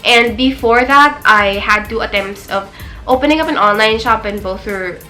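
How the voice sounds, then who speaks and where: Bright-voiced young Filipina vlogger. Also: female voice, a little high, normal speed, faint music behind, rough recording. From Philippines. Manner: chatty, switching between English and Tagalog. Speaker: beauty vlogger. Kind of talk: casual Q&A chat. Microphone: camera.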